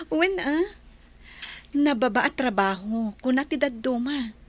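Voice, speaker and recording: A woman's voice: high-pitched, animated, slow, exaggerated voice. A woman playing a friendly, practical young vendor in an audio drama, with an Ilocano Filipino accent. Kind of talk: amateur audio drama acting.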